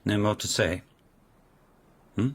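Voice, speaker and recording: An actor playing a haughty, menacing court lord in a radio drama, speaking with deliberate, imperious condescension. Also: male voice, lowish voice, steady tone, husky, exaggerated voice. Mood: scornful, probing.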